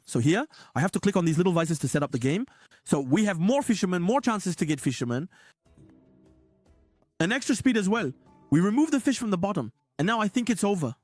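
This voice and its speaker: Man, hoarse, excitable, casino streamer, dry mic, quiet music underneath. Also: on the high side, no echo. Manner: excitable, repetitive hype. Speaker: slot streamer. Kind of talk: live casino commentary.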